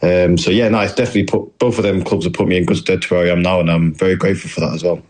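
Young deep-voiced man with a northern English accent. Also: steady tone, racing, darkish tone. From New Zealand. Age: young adult. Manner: hesitant, lots of fillers, polite. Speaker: footballer guest. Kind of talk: casual interview answers.

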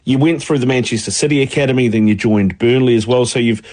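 A man's voice: mid-range voice, quick pace, a little mellow. Chatty male sports podcast host. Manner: chatty, loose, matey. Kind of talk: casual sports podcast interview.

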